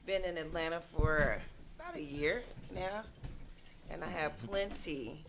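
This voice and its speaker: A woman's voice: deep voice, a little slow. A woman originally from Los Angeles, speaking casually as a guest introducing herself on a show. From Los Angeles, USA. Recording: clear background noise.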